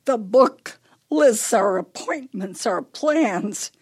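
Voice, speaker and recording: Fretful, husky elderly wife. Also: female voice, fairly high pitch, animated, slow, a little mellow, heavily acted. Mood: indignant. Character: fretful, grieving elderly wife, clinging to memories. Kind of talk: radio play acting.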